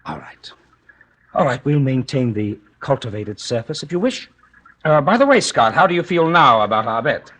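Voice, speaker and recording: An actor playing a suspicious, wealthy husband in vintage radio drama, ironically polite, hesitant and insinuating. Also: male voice, medium pitch, big pitch swings, normal speed, a bit bright. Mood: sardonic. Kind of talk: 1940s-50s radio drama acting. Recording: noisy old radio recording.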